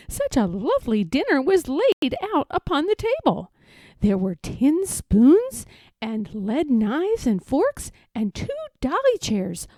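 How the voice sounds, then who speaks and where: A woman reading a children's story aloud in an expressive, measured, emphatic storytelling manner. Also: high-pitched, no echo. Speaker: storybook reader.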